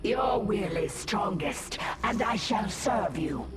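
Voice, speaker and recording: A woman's voice: medium pitch, animated, slow. A woman playing a proud, warlike alien brood mother in a video game, speaking formally and solemnly with a layered creature effect. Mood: fervent devotion. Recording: echoing voice under loud music.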